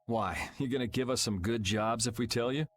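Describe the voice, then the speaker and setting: A man's voice: medium pitch, fairly quick, natural voice. Man playing a cool, unbothered young adventurer. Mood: cool, firm. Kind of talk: English-dubbed anime video game acting.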